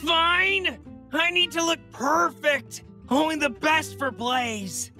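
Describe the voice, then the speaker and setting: Woman as an excitable, high-voiced young hedgehog groom. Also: takes it slowly, soft background music, full character voice. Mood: nervous. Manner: excitable, indignant. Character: frantic, lovestruck young male hedgehog groom. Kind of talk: fan comic dub.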